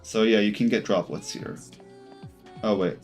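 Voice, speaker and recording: A man doing casual live game commentary, thinking aloud in a halting, self-correcting way as he talks to his chat. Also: fairly low pitch, steady tone, darkish tone. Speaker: game streamer. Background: game music.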